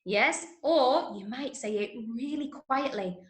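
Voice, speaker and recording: A woman with an English accent leading an online drama workshop for children, giving clear instructions and often checking in. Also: high-pitched, expressive, slow pace. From Manchester, England. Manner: clearly instructional, frequent check-ins with okay and right. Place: indoors, online video session, roomy sound. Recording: roomy echo on online call.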